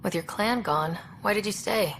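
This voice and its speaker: A woman's voice: mid-range voice, normal speed, fairly bright tone, a little hiss or hum, lightly acted. A woman playing a young space explorer in a video game, direct and inquisitive, in a plain conversational voice. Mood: curious. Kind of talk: video game dialogue acting.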